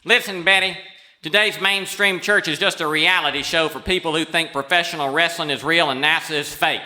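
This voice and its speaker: A man's voice: fairly high pitch, steady tone, brisk, pushed and bright. A man playing a blunt, cantankerous, unfiltered theologian in a live radio comedy sketch, ranting scornfully. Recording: reverberant auditorium stage.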